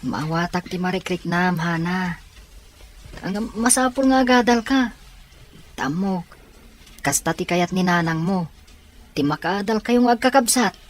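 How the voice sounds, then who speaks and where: A woman's voice: on the low side, expressive, unhurried, long pauses. A woman playing a kind, supportive friend in an audio drama, giving advice in a reassuring way. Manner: reassuring, advising. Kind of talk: Ilocano radio-style audio drama.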